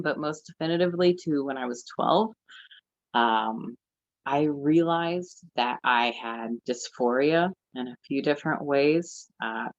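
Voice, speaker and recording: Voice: medium pitch, no echo, lo-fi sound. A nineteen-year-old panelist telling their own story in an earnest, chatty way, full of fillers. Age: teens. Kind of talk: casual personal storytelling. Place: library event room.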